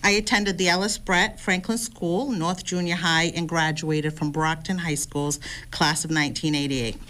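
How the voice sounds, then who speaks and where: A composed woman in her fifties whose first language is Arabic reads a prepared statement. Her voice is a little low. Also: fairly even intonation, moderate pace, a bit bright. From Lebanon. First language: Lebanese Arabic. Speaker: city councillor and candidate. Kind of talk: prepared debate opening statement.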